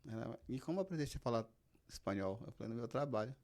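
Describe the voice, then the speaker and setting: A man's voice: medium pitch, animated, fast, darkish tone. A man with a Brazilian accent, hosting a podcast as a casual, conversational chat. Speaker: podcast host.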